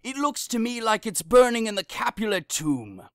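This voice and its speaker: A woman's voice: on the high side, big pitch swings, normal speed, no room sound, clean studio sound. A woman playing an anxious, kindly old friar in an audio drama, fretful and exclamatory. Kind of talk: audio drama acting of modernized Shakespeare.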